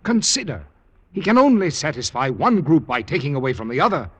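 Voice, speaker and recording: An actor in a classic radio drama playing a scheming, persuasive Roman noble, insinuating and deliberate in his delivery. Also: fairly high pitch, big pitch swings, a bit bright, slightly rough, heavily acted.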